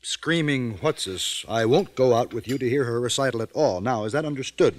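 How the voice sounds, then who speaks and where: A middle-aged man playing a genial, gently teasing father in an old radio drama, speaking in a paternal, unhurried way. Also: mid-range voice, lively intonation, close to an everyday voice. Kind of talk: 1940s radio drama acting.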